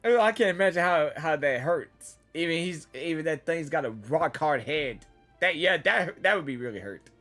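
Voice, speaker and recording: Husky, excitable woman reacting to a game. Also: low-pitched, faint music behind. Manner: excitable, halting, repeats words. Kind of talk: casual live reaction commentary.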